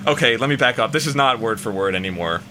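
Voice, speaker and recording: A man's voice: mid-range voice, expressive, quick pace, sharp tone. Man doing comic asides over music. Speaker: comic reader. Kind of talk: comic reading with joking asides.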